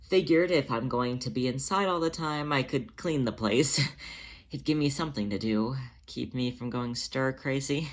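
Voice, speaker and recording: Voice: medium pitch, moderate pace, close to an everyday voice. A narrator voicing an anxious female cat who is wary, hesitant and defensive. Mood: sheepish.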